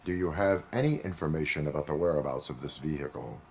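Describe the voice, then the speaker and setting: An actor playing a stern, unflappable cyborg police officer in a video game, speaking in formal, deadpan, clipped commands. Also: male voice, very deep voice, fast, slight background noise. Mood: formal, matter-of-fact.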